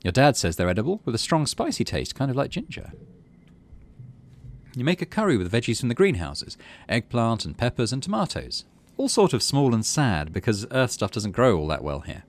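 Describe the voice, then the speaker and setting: A man's voice: mid-range voice, very animated, moderate pace. A man hosting a gaming video, reading story text aloud and adding casual commentary as he plays.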